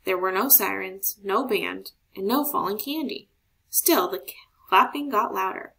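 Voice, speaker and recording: A woman reads a children's story aloud. Her delivery is expressive, in an average-pitched voice with a clearly creaky edge. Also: poor audio. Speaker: storybook reader.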